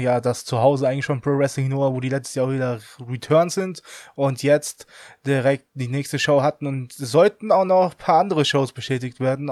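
A man's voice: medium pitch, darkish tone, dry recording, clean studio sound. A young man co-hosting a casual podcast chat, chatty and laughing often, mixing English slang into his talk. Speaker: podcast co-host.

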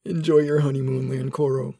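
A man playing a smug, self-assured young dark-side warrior in an audio drama, gravelly and husky, with formal, clipped speech. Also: mid-range voice, level tone, brisk, dry recording, clean studio sound. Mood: smug, dry.

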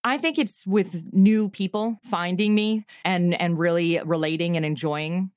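Middle-aged female interview guest, slightly low register, conversational delivery, dry sound. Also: steady tone, measured pace, no echo. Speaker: guest, audio comedy writer and performer. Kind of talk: casual interview chat.

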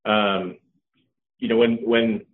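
A middle-aged man, a company co-founder, talking casually in an interview with a relaxed manner and many ums and restarts. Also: fairly low pitch, slow. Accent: Californian. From Norway. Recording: tinny phone-like line.

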